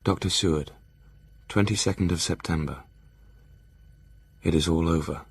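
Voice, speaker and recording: An actor playing a sombre, grieving doctor in an audio drama, narrating his diary in a subdued, solemn way with a gravelly, husky voice. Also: low voice, normal speed, no echo, full character voice. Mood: mournful.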